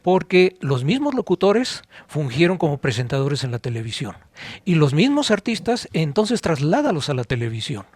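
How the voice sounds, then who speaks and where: A Mexican man hosts a podcast in a relaxed, conversational style. His voice sits a little higher than average for a man, and he speaks informally, as in a chat. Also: normal speed, husky, dry recording. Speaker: podcast host. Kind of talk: casual conversational hosting.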